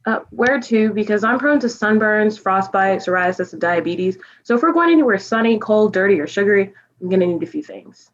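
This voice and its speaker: A woman's voice: medium pitch, lively intonation, brisk, hardly any pauses, highs cut off, lightly acted. A woman playing an earnest, pedantic, easily flustered storyteller in a comic stage reading. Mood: defensive.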